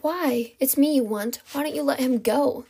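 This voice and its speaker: A woman's voice: high voice, normal speed, warm tone, voice pushed up. A woman voicing a frightened but defiant young girl held captive, pleading and protesting, in a story reading. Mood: angry, defiant.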